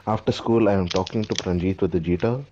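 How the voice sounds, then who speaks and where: A man's voice: low voice, fairly even intonation, fast talker, lightly acted. A man playing a boastful man from Delhi who tells a rambling comic tale of his teenage years, laughing at his own jokes. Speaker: storyteller. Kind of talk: comedy sketch monologue. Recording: dull, faintly noisy recording.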